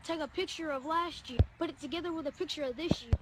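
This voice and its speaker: Woman, high voice, sassy mocking comedy rant, noisy social media clip playback. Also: fast.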